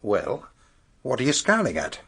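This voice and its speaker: A man's voice: mid-range voice, huge pitch swings, unhurried, vocal fry, fairly bright tone, slightly rough, exaggerated voice. A man playing a brusque, determined police investigator in a radio drama, blunt and businesslike.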